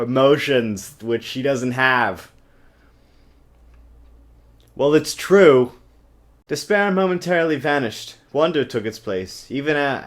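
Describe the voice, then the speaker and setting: A man reading a novel aloud in a theatrical, exaggerated way and adding sarcastic comic asides, recorded on a webcam. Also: mid-range voice. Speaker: amateur reader and comic commentator. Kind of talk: mocking dramatic book reading with sarcastic asides. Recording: choppy amateur webcam recording.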